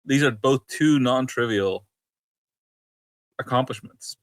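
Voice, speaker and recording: A man co-hosting a podcast in casual banter, with a sardonic, deadpan manner. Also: medium pitch, slow, dry recording. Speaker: podcast co-host.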